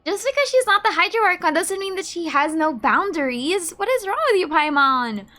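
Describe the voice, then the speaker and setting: A woman's voice: very high-pitched, expressive, moderate pace, sharp tone. A woman giving casual, playful playthrough commentary, reacting expressively to a game. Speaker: gaming video creator.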